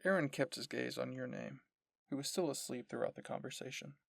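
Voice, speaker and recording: A man's voice: medium pitch, a little fast, vocal fry, a bit bright, no echo. A man narrating scripted fan fiction as the host of an audio story channel.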